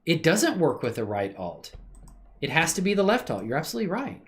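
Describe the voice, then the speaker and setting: A man's voice: a little high, wildly swooping pitch, brisk, fairly bright tone. A man hosting a casual livestream, chatting with viewers in a laid-back, slangy, appreciative way and thinking aloud as he works. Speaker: livestream host showing 3D animation work. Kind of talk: casual chat with live viewers.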